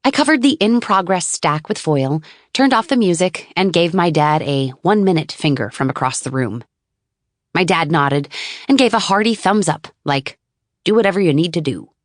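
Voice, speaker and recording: A woman narrates a novel in the first person. Her voice sits low for a woman. The sound is dry, with no room around it. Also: expressive, moderate pace, dry recording. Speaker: audiobook narrator.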